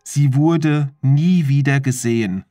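A narrator reading a scripted horror story in a measured, serious manner. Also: mid-range voice, dark tone, no room sound. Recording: eerie music under the voice. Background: horror background music.

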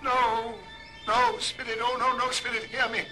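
Scared old miser, high sharp voice, slow theatrical begging, old radio play. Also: male voice, level tone, full character voice, low-quality recording. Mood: desperate pleading. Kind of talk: old radio drama acting. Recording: old record with music underneath.

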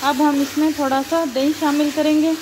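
A woman, calmly explaining each cooking step as a recipe voice-over. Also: high voice, normal speed, background noise. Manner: calm, instructive, points things out to viewer. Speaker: cooking channel host. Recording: loud frying sizzle behind voice.

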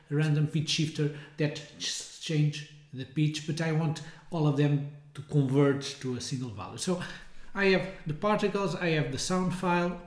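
A man in his thirties giving an informal, hesitant software demonstration, often rephrasing as he goes. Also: on the high side. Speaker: software tutorial presenter. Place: indoors, small room with window blinds. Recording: roomy, echoey indoor sound.

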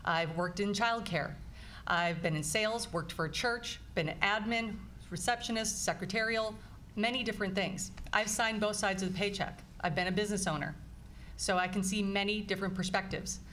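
A woman in her forties delivers an earnest, upbeat campaign speech at a candidate forum. She talks into a table mic in a meeting room with a small audience, with a faint hiss in the background. Her pitch sits in the middle range for a woman. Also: normal speed, fairly bright tone. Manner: earnest, upbeat, emphatic lists. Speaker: political candidate.

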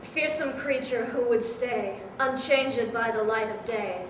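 Trickster spirit, acted by a woman, higher voice, mischievous and theatrical, rhyming, live radio play, distant noisy recording. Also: a little slow, lightly acted. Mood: incantatory, grand. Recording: echoey distant live hall recording with noise.

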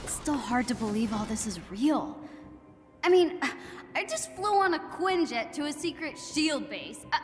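Eager teen girl hero-fan, high and animated, gushing, awkward, for a game. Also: unhurried, soft background music. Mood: awestruck. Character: eager, awestruck teenage superhero fangirl.

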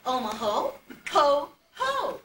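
A woman's voice: high voice, big pitch swings, slow, full character voice. A woman playing an exuberant, silly patchwork doll in a puppet show, eager and sing-song. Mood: gleeful. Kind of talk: amateur puppet-show singing and acting. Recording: distant echoey home video, loud noise.

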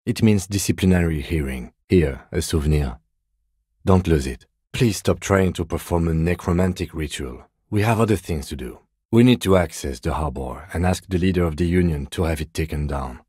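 A composed male police lieutenant for a video game. His voice is extremely deep and mellow, recorded dry and close. He speaks formally and with restraint, in a deadpan, measured manner. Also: breathy edge, dry recording. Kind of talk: scripted video game dialogue.

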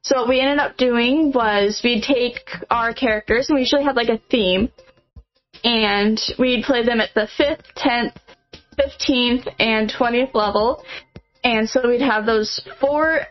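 Chatty Midwestern woman, slightly high. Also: measured pace, soft background music. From Nebraska, USA. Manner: warm, chatty, frequent fillers and restarts. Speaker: podcast guest. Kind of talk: casual interview answers. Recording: dull lo-fi audio.

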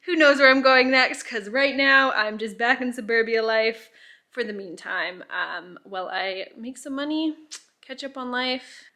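A woman's voice: on the high side, a little slow, bright, forceful tone. A woman in her thirties talking to a camera held at arm's length, chatting casually and rambling upbeat as a travel vlogger. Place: hostel grounds, car and home bedroom.

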